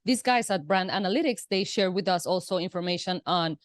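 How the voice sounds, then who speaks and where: A woman's voice: on the low side, brisk, no echo, studio quality. A woman whose first language is Spanish, speaking English as a guest in a casual interview, explaining at length with many "you know" and "right" fillers and restarts. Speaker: guest, Amazon seller.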